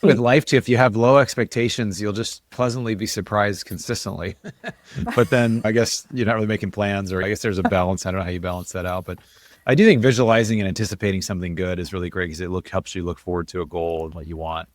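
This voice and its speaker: A man with a very deep voice talks as an interview guest. His delivery is quick and casual, like relaxed storytelling in conversation. The sound is dry and close, with no room echo. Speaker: interview guest, investor and author.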